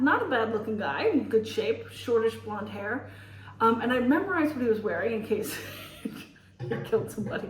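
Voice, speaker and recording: A woman's voice: fairly high pitch, roomy sound, recorded from a distance, low-quality recording. A woman with an American accent, a teacher, chatting casually to her vlog audience in a rambling way, with many fillers and restarts. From United States. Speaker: teacher and vlogger. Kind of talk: casual vlog monologue and storytelling.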